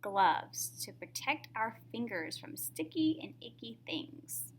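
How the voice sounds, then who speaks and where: A woman's voice: fairly high pitch, very animated, slow pace, husky. A woman reading a picture book aloud to young children in a teacherly way, often putting questions to the listener.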